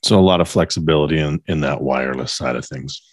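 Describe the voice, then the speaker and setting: A man hosting a podcast interview in a conversational way, with a husky voice. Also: very deep voice, fast, no room sound, clean studio sound.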